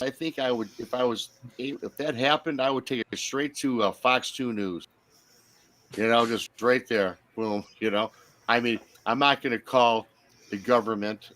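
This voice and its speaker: A man's voice: fairly low pitch, a bit bright, dry recording. A man talking casually as a podcast guest, making his points emphatically and rephrasing as he goes. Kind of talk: casual conversational talk.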